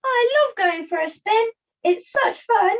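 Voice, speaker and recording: A woman's voice: extremely high pitch, animated, unhurried, heavily acted. A young woman voicing a playful, cheeky, carefree puppet in an amateur play on a video call. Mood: cheeky, breezy. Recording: muffled video call audio.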